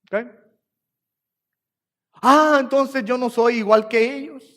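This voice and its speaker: Puerto Rican male pastor, high-pitched, emphatic repeating delivery, long church reverb. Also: slow, fairly bright tone. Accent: Puerto Rican Spanish. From Puerto Rico. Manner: repeats phrases for emphasis, rising and falling in force. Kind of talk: sermon. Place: church sanctuary.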